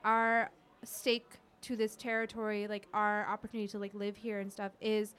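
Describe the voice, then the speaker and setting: A woman's voice: mid-range voice, monotone, normal speed, a bit bright, smooth. A woman with an American accent hosting a casual, opinionated podcast chat, speaking bluntly and swearing freely. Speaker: podcast host.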